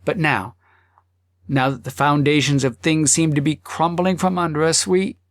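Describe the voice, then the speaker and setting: A man voicing a devoted, gently reproachful wife in an audiobook, speaking earnestly and with feeling. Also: a little high, fairly even intonation, dry recording, clean studio sound, lightly acted. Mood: troubled, pleading. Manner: earnest, emotional, deliberate. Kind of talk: audiobook character acting.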